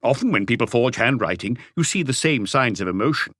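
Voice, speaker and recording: A man voicing an eager, precise, excitable male handwriting expert explaining things in an audiobook. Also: medium pitch, brisk, dry recording, clean studio sound.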